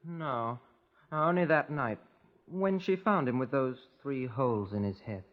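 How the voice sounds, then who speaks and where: A man's voice: mid-range voice, a little slow, lightly acted. Man acting a lovestruck young British handyman, old radio. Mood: sullen, scornful. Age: young adult. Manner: emotional, earnest, dramatic. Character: lovestruck, volatile young English handyman and chauffeur. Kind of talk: 1950s radio crime drama acting. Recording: muffled old radio broadcast recording.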